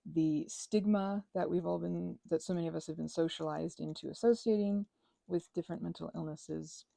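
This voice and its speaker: A woman's voice: fairly low pitch, fairly even intonation, moderate pace, gravelly, warm tone, dry recording. A woman in her thirties rambling casually and digressively, with a sarcastic, self-deprecating streak. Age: 30s. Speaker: crafting vlogger and ASMR creator.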